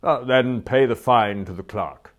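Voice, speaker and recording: A man voicing a stern, coldly pompous magistrate who speaks in formal, deliberate phrases. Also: medium pitch, pitched above the performer's own voice, close to an everyday voice. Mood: curt, official.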